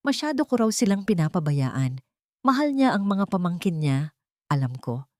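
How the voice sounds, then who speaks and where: Woman voicing an uneasy wife, low-pitched, confiding. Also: very animated, moderate pace, dry recording, clean studio sound. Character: troubled young wife and mother confiding in her diary. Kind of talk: dramatic first-person storybook reading.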